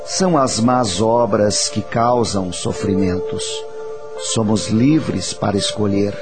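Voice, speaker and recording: A man playing a wise, patient spirit teacher, speaking in a measured, reassuring and didactic way in a radio drama. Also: lowish voice. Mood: gentle teaching. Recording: faint music under the voice.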